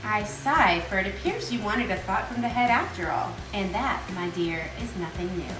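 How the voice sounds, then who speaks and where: A woman's voice: medium pitch, animated, normal speed, close to an everyday voice. A woman playing a wry, doubtful, lofty lady in a stagy poetry performance, speaking with formal wit. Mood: lofty, insistent. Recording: echoey room, music behind.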